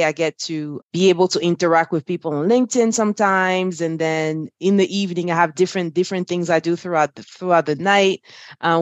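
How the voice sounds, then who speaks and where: A woman's voice: fairly low pitch, dry recording. Upbeat chatty woman from Ivory Coast. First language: French. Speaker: interview guest, finance executive. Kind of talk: casual interview talk.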